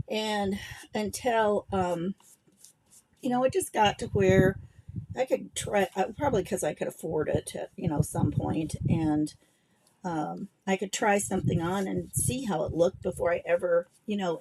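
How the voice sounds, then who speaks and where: A middle-aged woman with a gruff voice, chatting and rambling casually as she hosts a craft livestream. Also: medium pitch, dark tone, slight background noise, rough recording. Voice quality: gravelly, gruff voice. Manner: chatty and rambling, thinking aloud while working. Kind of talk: casual craft demo chat.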